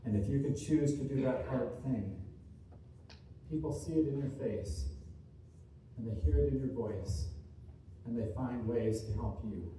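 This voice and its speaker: Echoey, distant, very mellow male motivational speaker. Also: mid-range voice. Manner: earnest, deliberate, short emphatic phrases with rhetorical repetition. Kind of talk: inspirational keynote speech to a live room. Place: large indoor room at a seminar. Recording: distant mic, echoey room, faint noise.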